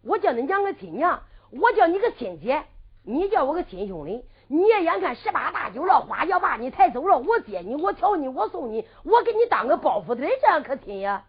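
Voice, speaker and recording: Wheedling young male peddler, played by a woman; Henan accent, lively high delivery. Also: fairly quick. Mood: coaxing. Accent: Henan dialect. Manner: coaxing, bargaining sing-song. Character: clever young man, wheedling traveling peddler. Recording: muffled lo-fi recording.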